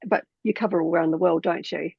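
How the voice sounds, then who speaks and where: A woman hosts an online panel over a video call, chatty and full of fillers. Her voice has a gravelly edge. The audio is dull, dry and lo-fi. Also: lowish voice, steady tone, quick pace, dry recording. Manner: warm, chatty, with many fillers. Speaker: host. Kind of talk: hosting a live online panel.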